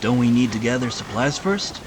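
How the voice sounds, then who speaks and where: A man's voice: medium pitch, expressive, normal speed, background noise, pitched above the performer's own voice, natural voice. A man voicing anxious, doubting sailors in an audio drama, with a worried, questioning manner. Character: anxious, doubting male sailors rowing a lifeboat.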